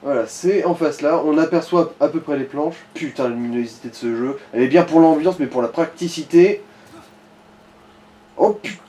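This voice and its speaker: A man's voice: on the high side, normal speed, mellow. A man chatting casually as he guides a player through a game, in informal, crude slang. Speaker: co-commentator guiding the player. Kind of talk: casual gaming chat.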